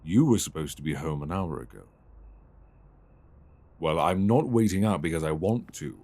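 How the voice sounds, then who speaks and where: A man's voice: rumbling low, lively intonation, normal speed, a little mellow, no echo, heavily acted. A man with a British accent playing a stern, weary but devoted dominant boyfriend in an intimate, emotionally intense audio roleplay, close on a binaural mic. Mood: stern, controlled. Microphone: close binaural microphone.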